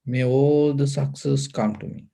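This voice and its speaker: A man leads a guided meditation as a Buddhist teacher, giving calm, deliberate instructions in short phrases. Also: mid-range voice, vocal fry.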